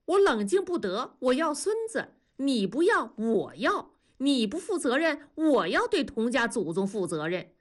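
A woman voicing a stubborn, domineering older mother-in-law who talks fast and insists on getting her way. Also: high voice, big pitch swings, voice pushed up, exaggerated voice. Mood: insistent. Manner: bossy, insistent, quick-tongued.

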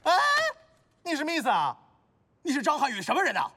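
A woman acting a brash, grasping debt collector in a TV drama, pushy and loud. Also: sits high, sing-song, a little fast, slightly rough, big-room sound, heavily acted.

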